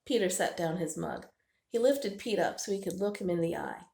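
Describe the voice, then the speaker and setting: A woman reading a children's storybook aloud with expressive, deliberate narration. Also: on the low side, creaky. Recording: roomy, echoing indoor sound.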